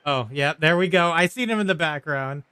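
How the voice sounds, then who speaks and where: A man's voice: on the high side, a little fast, bright, forceful tone, clear, resonant tone, dry recording. A man giving casual let's play commentary as a gaming streamer. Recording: game music behind the voice. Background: faint video game music.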